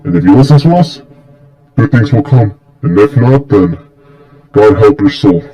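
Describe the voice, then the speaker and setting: A man voicing a stern, confident dark-lord mentor in an amateur fan story, coaching in a blunt, matter-of-fact way. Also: lowish voice, lively intonation, moderate pace, soft background music, natural voice, low-quality recording.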